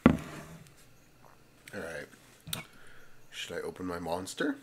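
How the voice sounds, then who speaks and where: A man hosting a casual, rambling ASMR video, slipping between vocal fry and whispering and often repeating his phrases. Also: sits low, big pitch swings, slow, very noisy. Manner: alternates hushed fry and whispering.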